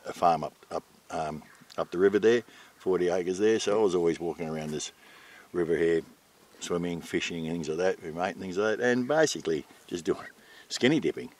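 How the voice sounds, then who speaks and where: An older Australian man being interviewed outdoors as a retreat owner, chatting casually in a halting, plain-spoken way. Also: low-pitched, a little mellow. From New South Wales, Australia. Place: outdoors at a garden table in bushland.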